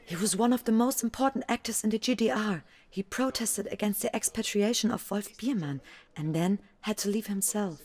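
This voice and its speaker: A woman playing a young woman who quietly explains things to her friend in a motion comic. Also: medium pitch, moderate pace, close to an everyday voice. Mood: hushed, eager. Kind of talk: acted scene in a narrated motion comic.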